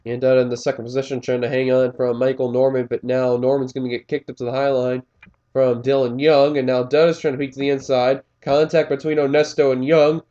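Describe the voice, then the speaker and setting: A man's voice: medium pitch, level tone, a little fast, dark tone, dull audio. Scratchy-voiced man calling a race. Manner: casual, rambling asides with frequent fillers and self-corrections. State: slightly scratchy voice. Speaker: race commentator. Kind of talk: play-by-play commentary of a simulated race.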